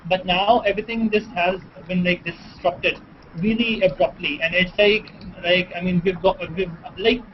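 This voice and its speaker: Male call guest from Pakistan, very high voice, halting and casual, dull call sound. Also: fairly even intonation, slight background noise. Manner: halting, many likes and restarts. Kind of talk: casual questioning. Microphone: video call. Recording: dull lo-fi video call audio.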